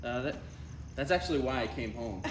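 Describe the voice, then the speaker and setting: A man playing an exasperated, defensive son in his thirties in a live comedy table read, arguing in a halting, incredulous way. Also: medium pitch, expressive, lightly acted. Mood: nervous, incredulous. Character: Ryan, exasperated thirty-six-year-old son, needling and defensive. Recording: roomy live recording, faint background noise.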